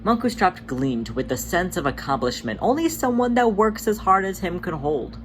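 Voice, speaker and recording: A narrator reading fan fiction aloud in an expressive storytelling style, with a mid-range voice. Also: mid-range voice, normal speed. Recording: faint background noise.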